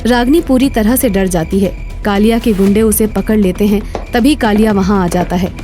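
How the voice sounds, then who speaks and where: A woman's voice: medium pitch, normal speed, over music. A woman narrating a scripted story as its storyteller.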